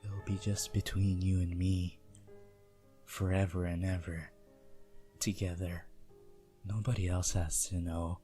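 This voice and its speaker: A man playing a possessive, seductive vampire prince in a teasing, intimate ASMR roleplay with quiet menace. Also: sits low, little pitch movement, measured pace, long pauses, soft background music.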